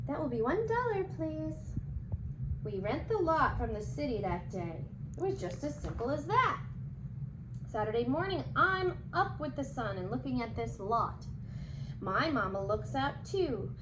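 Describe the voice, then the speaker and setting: High voiced lady doing a kids read aloud, very up and down, expressive storyteller. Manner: animated, acting out the dialogue. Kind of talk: expressive children's picture book reading. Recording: echoey room with clear background noise.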